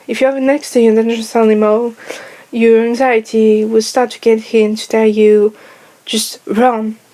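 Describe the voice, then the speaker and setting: A woman's voice: on the high side, level tone, normal speed, mellow. A young French woman speaking English as a second language, with a French accent, talking carefully and searching for words in a casual personal vlog. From France. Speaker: vlogger. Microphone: headphones on, speaking to camera.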